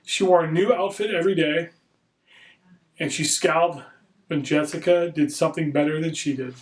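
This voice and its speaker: Higher-pitched man reading a storybook. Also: moderate pace, rough recording. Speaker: storybook reader. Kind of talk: reading a children's storybook aloud.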